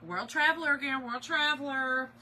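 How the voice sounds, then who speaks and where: A middle-aged woman, a little hoarse, hosting a casual craft livestream and greeting viewers by name. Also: sits high, moderate pace, very noisy. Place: home office.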